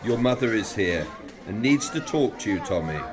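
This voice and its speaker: A man playing a brisk, authoritative schoolmaster in a radio play. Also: lowish voice, very animated, crowd noise. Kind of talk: amateur radio play acting.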